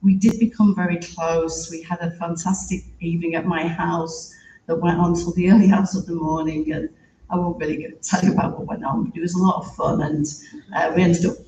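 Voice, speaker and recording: A man with a British accent giving a halting, reflective tribute on a theater stage. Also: fairly high pitch, little pitch movement, a little hiss or hum. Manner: halting, warm and reflective, with soft laughs. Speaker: eulogy speaker. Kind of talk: heartfelt spoken tribute. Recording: echoey room from a distance.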